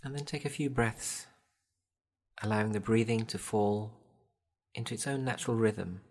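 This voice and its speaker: A man guiding a meditation in a gentle, soothing, measured way, leaving long pauses between instructions. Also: fairly low pitch. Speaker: meditation guide. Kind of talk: guided body scan meditation.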